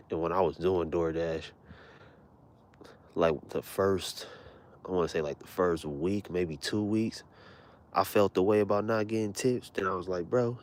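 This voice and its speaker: A young man with a deep voice, telling rambling, profane stories in a laid-back, casual way, keeping his voice low so he does not wake anyone at home at night. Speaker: vlogger. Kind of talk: casual late-night storytelling.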